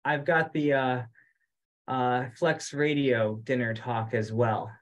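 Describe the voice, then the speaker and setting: A man with an average-pitched voice speaks informally on a group conference call. He sounds like the one organizing things, and he often repeats a phrase before he finishes it. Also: steady tone, takes it slowly. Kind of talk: casual meeting chat. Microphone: online conference call.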